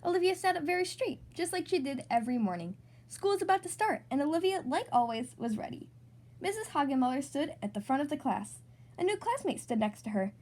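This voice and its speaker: A woman reading a children's storybook aloud as host, in an expressive, animated narrating style. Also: sits high, fairly quick. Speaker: storybook reader, podcast host.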